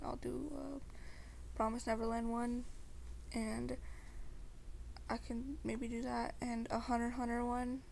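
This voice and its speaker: A woman's voice: on the high side, creaky, darkish tone, a little hiss or hum. A young woman chatting casually to her viewers in a slangy, rambling way. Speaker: amateur ASMR creator.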